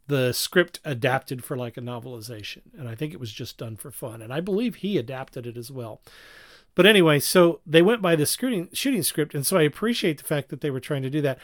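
A man hosting a casual podcast discussion, explaining things in a halting, rambling way and often correcting himself. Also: medium pitch, dry recording, studio quality. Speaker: podcast host.

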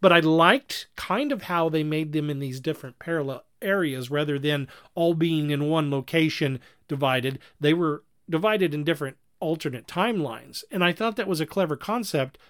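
A man's voice: a little high, normal speed, hardly any pauses, a bit bright, dry recording, clean studio sound. A man co-hosting a podcast in casual conversation, acting out imagined quotes as he reviews. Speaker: podcast co-host.

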